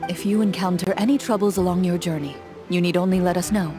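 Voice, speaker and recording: Woman playing a composed, lowish-voiced loyal ally. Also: fairly even intonation, fast talker, music in the background, lightly acted. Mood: earnest. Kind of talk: video game voice acting.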